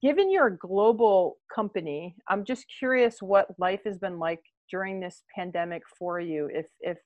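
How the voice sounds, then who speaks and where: A woman's voice: mid-range voice, animated, moderate pace, no echo. Chatty woman host, dry muffled sound. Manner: chatty, many fillers and "obviously". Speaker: podcast host. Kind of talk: interview host questions.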